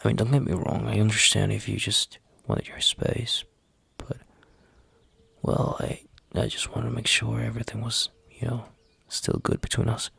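A man playing a tender, anxious young boyfriend in a roleplay ASMR audio, husky and halting in his speech. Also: on the low side, little pitch movement, no room sound, heavily acted. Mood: tentative, concerned. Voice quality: husky, gravelly. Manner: halting, hesitant, soft-spoken, sighing.

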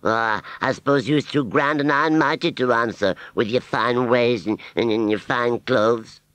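A man's voice: medium pitch, a bit bright, dry recording, pitched above the performer's own voice, lightly acted. A man voicing a simple, bumbling male scarecrow in a storybook reading, speaking in a rustic country dialect and stammering as he goes. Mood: annoyed. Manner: slow, hesitant, stammering.

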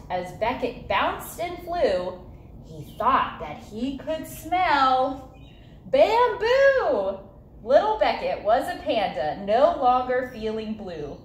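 Lady doing kids storytime read aloud, slow and sing-song, echoey spot with a bit of background noise. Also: high-pitched. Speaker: zoo educator and story reader. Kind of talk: children's picture book read-aloud. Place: zoo viewing area beside glass habitat. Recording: roomy echo, light background noise.

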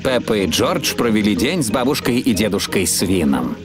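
A man's voice: lowish voice, big pitch swings, moderate pace. A man acting as the kindly storyteller who narrates a children's cartoon. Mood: storytelling. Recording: loud cartoon music behind.

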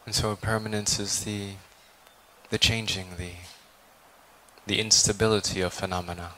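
A man guides a meditation in a low, flat voice. He is calm and contemplative, leaving long pauses between deliberate phrases. Some ambient noise sits behind him. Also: low-quality recording. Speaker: meditation teacher, Buddhist monk.

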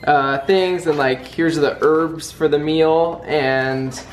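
A man's voice: on the high side, steady tone, slow, faint music behind, poor audio. A young man co-hosting a casual cooking vlog in his home kitchen, plainly explaining each step. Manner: easygoing, explaining steps plainly. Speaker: vlog co-host. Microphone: handheld camera.

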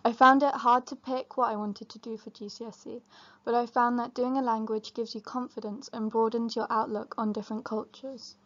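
Brief: teen schoolgirl, fairly high voice, careful read, muffled sound. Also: level tone, fairly quick, a bit bright. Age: teenager. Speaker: student. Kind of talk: reading a prepared statement.

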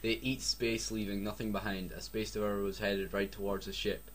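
A man's voice: fairly low pitch, level tone, a little fast, slight background noise. A young Scottish man reading a badly written story aloud, mocking it with swearing and jokes. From Scotland. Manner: sarcastic, swears a lot, breaks off to joke. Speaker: reader.

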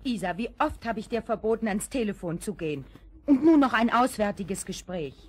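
A woman's voice: medium pitch, animated, husky. A woman playing a busy, scolding mother in a children's radio play. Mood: brisk. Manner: brisk, scolding.

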